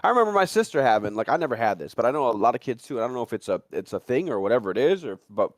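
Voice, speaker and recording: A man speculating in a casual discussion, rambling and halting as he repeats and restarts phrases. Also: medium pitch, sing-song, no echo, studio quality. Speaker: talk show panelist.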